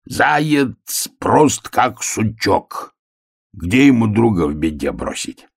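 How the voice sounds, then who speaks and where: A man voicing a sly, menacing storybook wolf who speaks with mock politeness in an unctuous, husky, drawn-out way. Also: lowish voice, sing-song, full character voice. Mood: smug, coaxing. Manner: unctuous, wheedling, drawn-out words. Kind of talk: storybook character reading.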